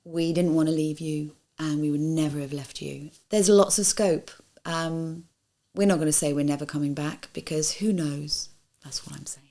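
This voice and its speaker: A woman, a pantomime actress, speaking in a soft, reassuring way. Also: low voice, normal speed. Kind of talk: heartfelt interview answer.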